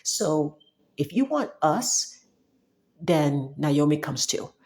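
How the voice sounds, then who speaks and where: A woman's voice: low voice, lively intonation, slow pace, darkish tone. A young woman from Cameroon, talking casually and emphatically to the camera, with restarts and frequent laughs. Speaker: software developer talking to camera. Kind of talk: casual monologue.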